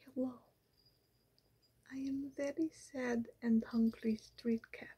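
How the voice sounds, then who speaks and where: A woman's voice: on the high side, steady tone, takes it slowly, slight background noise. A young woman in an ASMR roleplay, whispering as a scheming female vampire in silly disguises who pleads in broken, formal English. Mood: wheedling. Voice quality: soft whisper. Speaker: ASMR creator. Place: small bedroom with pink backdrop. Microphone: close webcam framing.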